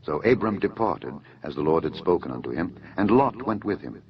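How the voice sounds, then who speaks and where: Deep-voiced man solemnly reading scripture. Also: big pitch swings, fairly quick, a little hiss or hum. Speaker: narrator of a dramatised audio Bible. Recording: dull lo-fi audio under music. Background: music.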